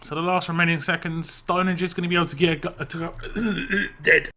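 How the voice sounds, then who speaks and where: A man casting a video game match live, tired and losing his voice, with frequent fillers and quick self-corrections. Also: fairly high pitch, fairly even intonation, noisy background. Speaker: esports caster.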